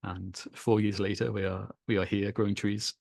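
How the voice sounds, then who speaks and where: Scouse guy, gravelly low voice, dry close sound, telling his story nice and easy. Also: flat delivery, moderate pace, a little mellow, dry recording. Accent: Liverpool. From England. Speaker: guest. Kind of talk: relaxed storytelling in an interview. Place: home in Kenya.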